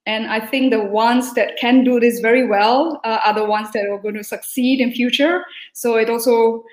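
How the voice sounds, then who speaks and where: A woman's voice: fairly high pitch, fairly even intonation, moderate pace, reverberant, rough recording. A woman from Singapore, a guest speaker at a virtual summit, sharing what she learned from a panel in an earnest, thankful way with many fillers.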